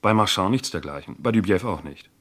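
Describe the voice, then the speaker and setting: A man's voice: sits low, lively intonation, fairly quick, breathy edge. An actor playing a diligent police inspector in a German radio crime drama, reporting to his chief in a precise, matter-of-fact way. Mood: dutiful, precise.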